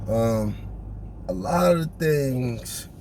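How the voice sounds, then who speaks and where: A congested man thinking aloud in a halting, reflective way on his way to work. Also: medium pitch, animated. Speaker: vlogger. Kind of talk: casual reflective monologue. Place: inside a car on the way to work. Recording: loud car and road noise.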